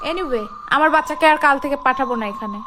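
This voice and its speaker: মহিলার কণ্ঠে খুব চড়া গলার ঝগড়াটে কার্টুন মা. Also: female voice, sits high, fast, natural voice. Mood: indignant. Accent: Bangladeshi. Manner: bossy, argumentative. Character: feisty scolding young mother of a small schoolboy. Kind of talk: comic cartoon dialogue. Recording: music behind the voice.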